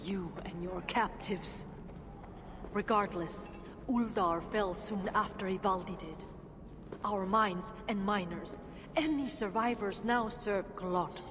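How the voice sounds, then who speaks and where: A woman's voice: mid-range voice, slow, lightly acted. Woman acting a weary dwarf leader, long reverb. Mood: grim. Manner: guarded, sardonic, solemn. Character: weary, bitter female dwarf leader of a refugee shelter. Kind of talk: dramatic video game voice acting. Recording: long reverberant hall, noisy ambience.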